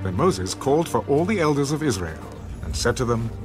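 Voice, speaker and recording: A man's voice: medium pitch, very animated, fairly quick. Male scripture narrator over prominent music.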